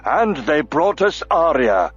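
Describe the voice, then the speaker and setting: A man voicing a stout, stubborn male dwarf warrior who speaks gruffly and defiantly. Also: medium pitch, animated, a little slow. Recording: lo-fi audiobook with faint music.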